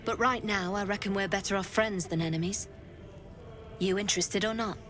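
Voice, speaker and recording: A woman's voice: mid-range voice, steady tone, normal speed, a bit bright, soft background music, close to an everyday voice. A woman playing a hard-nosed gang boss. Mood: threatening. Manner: blunt, threatening, businesslike.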